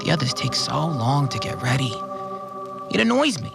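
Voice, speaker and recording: An actor playing a fussy, irritable cleaner who keeps a blunt, complaining first-person audio diary in an audio drama. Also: male voice, medium pitch, very animated, moderate pace, creaky. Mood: annoyed. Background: music.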